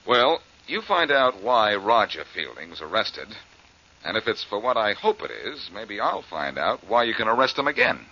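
Wisecracking private sleuth, low husky voice, sardonic, vintage radio drama, muffled recording. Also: male voice, sing-song, moderate pace, sharp tone, exaggerated voice. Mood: confident. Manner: glib, needling, sardonic. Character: wisecracking confident amateur detective, adult man. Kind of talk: 1940s radio acting. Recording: dull old radio recording.

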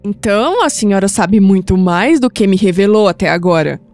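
An actress playing an anguished young Brazilian granddaughter in an audio drama, emotional and hesitant, with sighs. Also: female voice, mid-range voice, expressive, normal speed, darkish tone, close to an everyday voice. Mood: curious, startled. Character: anguished, skeptical young granddaughter unsettled by past-life visions. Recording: music bed under the voice.

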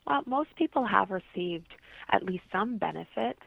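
Phone-like tinny sound, woman, creaky lowish voice, interview guest, conversational explaining. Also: lively intonation, normal speed. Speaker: interview guest, holistic practitioner. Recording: thin, tinny phone-like line.

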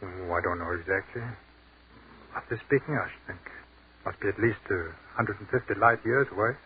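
An actor playing an educated army captain who speaks in a crisp, articulate and lyrical way, in a 1940s radio drama. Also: male voice, on the low side, normal speed, natural voice. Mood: measured. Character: educated male army captain, dreamy and commanding. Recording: tinny, hissy 1940s radio broadcast.

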